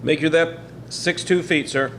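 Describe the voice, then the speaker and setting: An actor playing a dutiful submarine diving officer, making military call-outs in a war drama. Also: male voice, on the high side, steady tone, measured pace, slight background noise. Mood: brisk. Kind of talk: radio-play style war drama acting.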